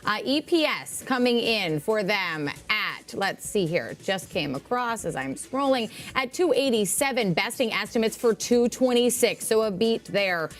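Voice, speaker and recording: A woman's voice: mid-range voice, lively intonation, a little slow, hardly any pauses, soft background music. A woman broadcasting financial news live, ad-libbing as she relays figures just coming in. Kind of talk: live unscripted breaking earnings report.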